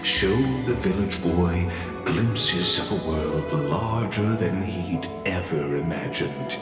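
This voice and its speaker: Epic fantasy game narrator: grave man, deep voice, extremely unhurried storybook delivery. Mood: solemn. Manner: solemn, measured. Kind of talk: scripted dramatic narration. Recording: echoey hall reverb, music behind.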